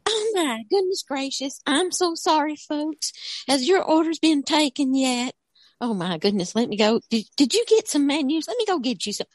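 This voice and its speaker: A woman puts on a flustered, folksy waitress for an improvised comic drama. She is high-pitched and fussily apologetic, heard in a dry, close recording. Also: lively intonation, moderate pace, no echo. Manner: fussy, exclaiming.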